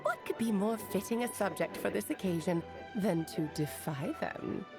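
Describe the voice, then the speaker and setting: A woman's voice: medium pitch, expressive, moderate pace, over music, lightly acted. A woman voicing a bold, defiant witch in a video game, proud and declamatory.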